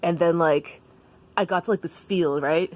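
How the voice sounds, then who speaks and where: A woman's voice: lowish voice, normal speed, lightly acted. A woman playing a laid-back, blunt schoolgirl slacker in a comic story, slangy and casual, with a drawling delivery. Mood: dazed, earnest.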